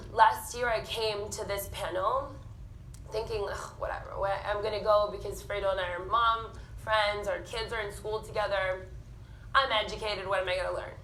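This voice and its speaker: Woman, 30s, panel speaker, bright forceful tone, roomy hall with faint hiss. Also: medium pitch, moderate pace. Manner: candid, animated storytelling. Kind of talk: personal testimony on stage. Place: theatre stage.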